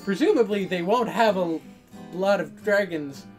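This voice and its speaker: A woman's voice: medium pitch, expressive, moderate pace, soft background music. A woman reading a visual novel aloud and adding casual commentary as host of a gaming video.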